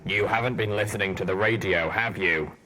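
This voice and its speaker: A man voicing a grim, bitter ghoul soldier pony with a rumbling voice in an audiobook, slow and blunt, over background noise. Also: natural voice. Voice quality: rumbling, low. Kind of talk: audiobook character voice.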